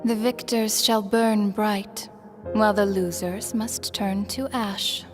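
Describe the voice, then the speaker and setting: A woman's voice: mid-range voice, steady tone, takes it slowly, music in the background, lightly acted. A woman voicing a solemn, imperious ruler of death in an anime-style video game, grave and formal. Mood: solemn, stern.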